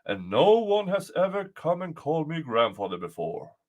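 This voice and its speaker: A huge, centuries-old troll from a fairytale, acted by a male storyteller. He is placid and hospitable, talking plainly and without hurry, with wide swings in pitch. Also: big pitch swings, highs cut off. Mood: bemused. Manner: unhurried, affable, plain-spoken. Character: ancient, stout giant troll man, placidly hospitable. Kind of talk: folktale storytelling.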